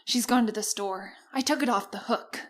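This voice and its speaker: A woman acting a bitter, timid, easily hurt sister in a radio drama, with a halting, emotional delivery. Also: on the high side, fairly bright tone, natural voice. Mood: nervous, puzzled.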